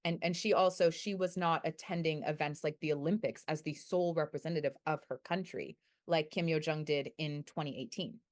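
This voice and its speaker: A young adult woman answering interview questions as a researcher, thoughtful and measured, sometimes correcting herself. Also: deep voice, steady tone. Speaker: guest researcher.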